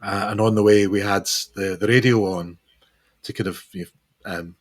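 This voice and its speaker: A man with a hoarse, recovering voice, chatting as a musician guest in an interview, hesitant and full of restarts. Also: lowish voice, fairly even intonation, moderate pace. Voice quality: hesitant, lots of fillers and restarts. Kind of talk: casual interview chat.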